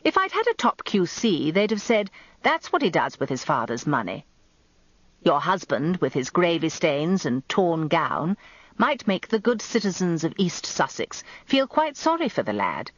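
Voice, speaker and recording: A woman's voice: fairly low pitch, big pitch swings, measured pace, dry recording. A woman voicing suave older Englishman, dry sound. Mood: confidential. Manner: smooth, businesslike. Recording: slightly dull, lo-fi radio recording, dry.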